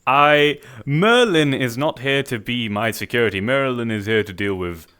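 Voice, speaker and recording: A man playing a suave businessman in a suit, speaking in a smooth, formal and faintly sly way. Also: medium pitch, lively intonation, normal speed, bright, forceful tone. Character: suave suited businessman with groomed hair. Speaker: streamer roleplaying a character. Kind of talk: improvised social-VR roleplay.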